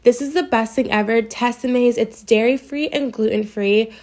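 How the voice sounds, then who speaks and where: Chatty young woman with a high voice. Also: normal speed, a little mellow. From US East Coast. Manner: chatty and gushing, heavy use of "like". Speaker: vlogger. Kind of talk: casual meal prep voice-over. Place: home kitchen.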